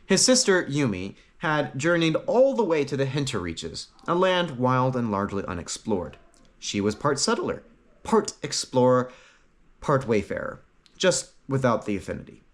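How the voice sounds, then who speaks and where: A man reads a fantasy tale as an audiobook narrator. His medium-pitched voice rises and falls widely, and he sets off short phrases with dramatic pauses for emphasis. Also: sing-song. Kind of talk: scripted fantasy story narration.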